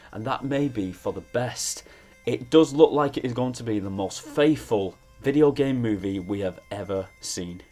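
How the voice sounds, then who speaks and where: A man presenting scripted opinion commentary as a film reviewer, measured but emphatic. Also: on the low side, a little mellow. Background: background music.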